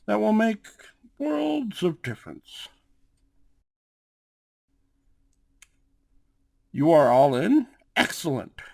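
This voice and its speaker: Guy doing a smooth cat boss for asmr roleplay, protective n calm, really unhurried, super dry close sound. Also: high voice, dry recording, full character voice. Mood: reassuring, inviting. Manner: measured, drawn-out delivery with questioning tags. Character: suave male cat-folk gang boss, calm and protective. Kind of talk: ASMR roleplay, acted monologue to one listener.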